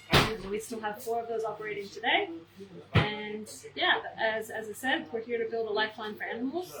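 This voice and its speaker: An American woman co-founder of an animal welfare charity, answering interview questions earnestly with many ums and restarts. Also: mid-range voice. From United States. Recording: echoey, distant mic with loud crowd noise.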